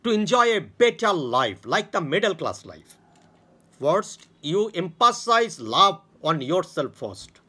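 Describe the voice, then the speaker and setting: A man with a Bangladeshi accent talks straight to his viewers in halting non-native English. He lectures in a grand, self-assured way, repeats himself and restarts his sentences again and again. His voice sits a bit high and sounds bright, and the pitch swings widely. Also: sing-song, husky. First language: Bengali. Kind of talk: rambling monologue to viewers.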